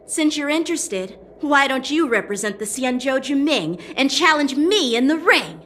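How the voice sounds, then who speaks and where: A young sword fighter in a game cutscene. The voice is fairly high, formal and composed, with a pointed, quietly challenging edge. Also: female voice, expressive, moderate pace, a little hiss or hum. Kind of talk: dubbed video game cutscene dialogue.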